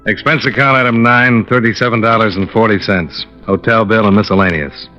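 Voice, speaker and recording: A man's voice: fairly low pitch, fairly even intonation, brisk, music in the background, close to an everyday voice. An actor playing a sober, hardboiled male insurance investigator in a 1950s radio detective drama, clipped and matter-of-fact. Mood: sober, reflective. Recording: dull old radio recording.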